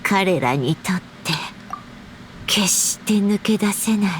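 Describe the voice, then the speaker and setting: A woman playing a gentle, wistful storybook author in a game, speaking in a measured, reflective way with breathy pauses. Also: mid-range voice, lively intonation, slight background noise, natural voice. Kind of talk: Japanese game story voice acting.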